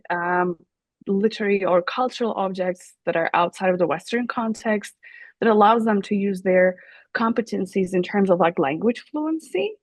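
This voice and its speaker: A woman's voice: medium pitch, no echo. A woman professor whose first language is Turkish, answering interview questions thoughtfully with many fillers and restarts. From Turkey. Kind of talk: academic interview.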